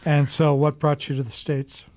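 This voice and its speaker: A man hosts a live radio show from a studio, his tone mellow and a little high. He asks his guest relaxed, friendly questions. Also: moderate pace. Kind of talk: studio interview.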